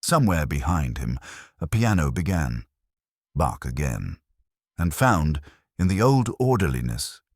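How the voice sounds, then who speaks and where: A man with an extremely low, somewhat husky voice narrates a literary mystery. His delivery is measured and wry, with expressive rises and falls in a dry, close sound. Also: dry recording.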